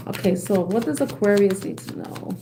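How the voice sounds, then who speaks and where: A woman giving a casual tarot reading, chatty and quick to laugh at herself, often pausing and correcting her words. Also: mid-range voice, background noise. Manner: chatty, laughs at own jokes, self-correcting. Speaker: tarot reader.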